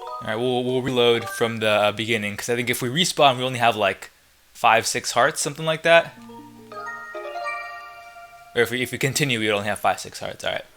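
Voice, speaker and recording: A man's voice: mid-range voice, brisk, long pauses. A man doing casual, sweary commentary while gaming, exclaiming and muttering frustrated asides. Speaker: let's play gamer. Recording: loud game music behind voice. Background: loud video game music.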